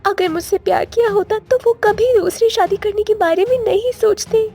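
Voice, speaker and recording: A woman's voice: extremely high pitch, normal speed, dark tone. A woman playing a dutiful, long-suffering young village wife in a cartoon moral story, speaking in an earnest, plaintive way. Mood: sad, pleading. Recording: faint music behind the voice.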